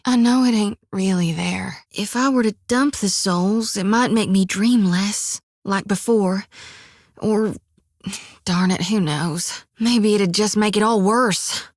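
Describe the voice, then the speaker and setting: An actress voicing a devout young female reaper priestess haunted by visions, with a drawl, often hushed and whispery, recorded dry in a studio. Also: mid-range voice, no room sound. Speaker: game character. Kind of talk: game voice acting.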